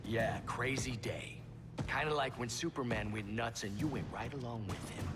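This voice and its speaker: An actor playing a bitter, sardonic villain in a video game, with a cool, sarcastic delivery. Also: male voice, mid-range voice, moderate pace, music in the background, lightly acted. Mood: mocking. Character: bitter, sardonic male villain grieving his sister.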